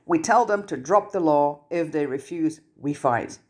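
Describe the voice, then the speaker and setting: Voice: medium pitch, animated, a little slow, darkish tone, lightly acted. A reader voicing a fierce, uncompromising teenage witch with a decisive, emphatic way of talking.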